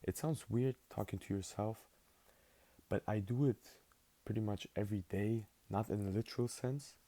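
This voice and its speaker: A low, gravelly-voiced young man of eighteen, talking loosely to the camera like he's journaling out loud. His tone is dark and mellow, and he drifts along with plenty of "like" and "um" in English, which isn't his first language. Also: moderate pace, no echo. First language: not English. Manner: rambling, filler-heavy, laid-back. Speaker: vlogger. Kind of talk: casual spoken journaling monologue.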